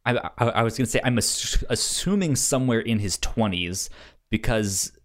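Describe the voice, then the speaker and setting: A man co-hosting a casual review podcast, halting and stumbling over the starts of words. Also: mid-range voice, lively intonation. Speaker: podcast co-host reviewing anime.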